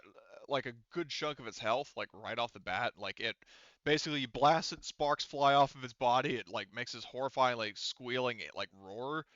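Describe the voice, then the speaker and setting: A male game master with a bright, clear voice talks players through the rules in a casual, halting way, thinking aloud. The sound is dry and close, though slightly dull and lo-fi. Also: mid-range voice, no room sound. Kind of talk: casual tabletop game refereeing.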